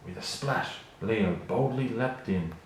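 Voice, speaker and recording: A man storyteller giving an expressive children's read-along narration. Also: on the low side, slow. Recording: very echoey room, faint noise.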